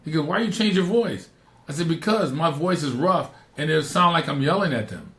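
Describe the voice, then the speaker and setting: Man, rough voice, middle-aged, podcast host, fairly high pitch, echoey room. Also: animated, normal speed, a bit bright. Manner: emphatic, repeats points for stress. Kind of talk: casual advice chat.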